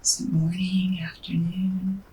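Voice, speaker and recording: Woman doing hypnosis prompts, soft breathy almost whispering, pretty flat, noisy recording. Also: fairly low pitch, a little slow. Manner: gentle prompting with frequent murmured acknowledgements. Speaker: hypnotherapist guiding a session. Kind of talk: hushed, slow guided hypnosis questioning. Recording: distant mic, background noise.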